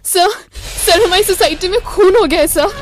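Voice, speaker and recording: A woman's voice: extremely high pitch, moderate pace. A woman with an Indian accent playing an anxious young female witness who hesitates and repeats words in a radio drama. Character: frightened young female witness, a call centre worker. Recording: music under the voice.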